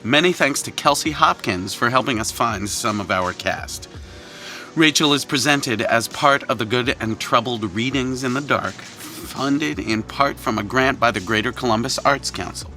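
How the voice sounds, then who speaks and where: A man, the director and producer, reading scripted closing credits in a measured, formal way with playful touches. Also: medium pitch, sharp tone, faint music behind.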